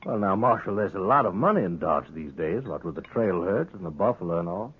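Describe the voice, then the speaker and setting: An actor playing a sly, brash saloon-owning gambler who needles others in a Western American accent, in a 1950s radio western. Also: male voice, deep voice, expressive, fairly quick, slightly rough, narrow, tinny audio. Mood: needling, defiant. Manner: cocky, needling, talkative. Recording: thin old radio broadcast recording.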